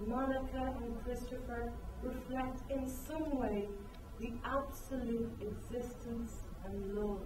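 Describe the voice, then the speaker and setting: A woman playing a reflective middle-aged mother in an amateur dramatic reading of a stage play, speaking with measured solemnity. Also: on the high side. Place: echoing room or hall, recorded from afar. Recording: roomy, distant microphone, loud noise.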